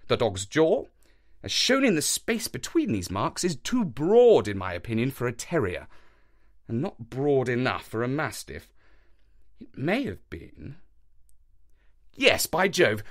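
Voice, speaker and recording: A man voicing a brisk, self-assured gentleman detective, speaking in an emphatic, theatrical way with a high, lively, swooping delivery. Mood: lecturing. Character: brisk, eager gentleman detective, sharp and sure.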